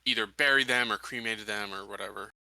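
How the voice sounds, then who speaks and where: Very bright, crisp-edged man at the table. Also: on the low side, fairly even intonation, a little fast, no room sound, rough recording. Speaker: tabletop game player. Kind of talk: casual tabletop planning chat.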